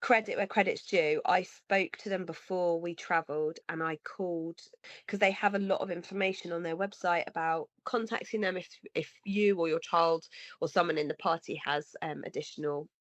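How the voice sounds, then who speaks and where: A woman in her thirties with a cold, sounding slightly nasal and husky, chatting earnestly as a podcast co-host. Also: on the low side, steady tone, moderate pace, dry recording. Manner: earnest, rambling with restarts. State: has a cold, sniffly. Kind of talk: casual conversation. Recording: dry, slightly dull lo-fi sound.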